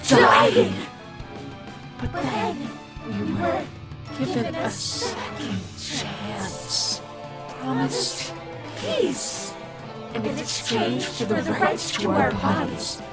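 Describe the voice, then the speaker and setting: Audio drama role: a dying, hungry alien fungus creature, anguished and dramatic, drawn-out delivery, music prominent underneath. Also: female voice, a little high. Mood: solemn, proud. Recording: music behind the voice.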